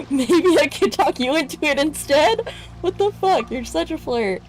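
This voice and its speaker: A woman giving casual, excitable gameplay commentary, exclaiming and talking to herself as she plays. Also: high voice, measured pace, soft background music. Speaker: game streamer.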